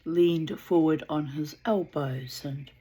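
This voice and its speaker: A woman with a New Zealand accent reading a novel aloud, deliberate and unhurried, with occasional asides. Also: low-pitched, dark tone. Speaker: audiobook reader.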